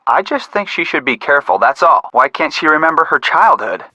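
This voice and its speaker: A man's voice: on the high side, very animated, fairly quick, sharp tone, no room sound, muffled recording, full character voice. A man playing a sensible, cautious young detective in a video game, with a level-headed, precise delivery. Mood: cautious, dry. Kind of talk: scripted game voice acting.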